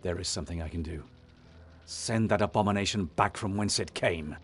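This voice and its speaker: An actor playing a noble, resolute young warrior leader in a fantasy game, earnest and commanding. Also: male voice, sits low, a little fast, lightly acted. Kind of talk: fantasy video game voice acting.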